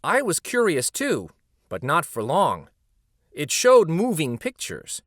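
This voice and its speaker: A man voicing a wistful, gentle old street storyteller from a children's picture book. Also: fairly high pitch, huge pitch swings, slow pace, no room sound, studio quality, heavily acted. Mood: nostalgic. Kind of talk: picture book read aloud.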